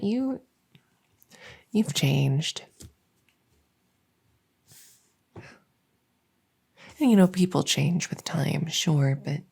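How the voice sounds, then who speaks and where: A woman plays a female monster who lives under the bed, harmless, needy and exasperated. Her voice is low, soft and mellow, often dropping to a whisper. She rambles slowly and stammers as she pleads with the listener, in a silly ASMR roleplay. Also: gravelly, full character voice. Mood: wistful, hurt.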